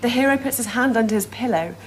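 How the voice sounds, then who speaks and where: A woman's voice: on the high side, quick pace, close to an everyday voice. An actress playing an earnest, bookish woman on a documentary crew, explaining things in a naturalistic radio drama. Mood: absorbed, storytelling.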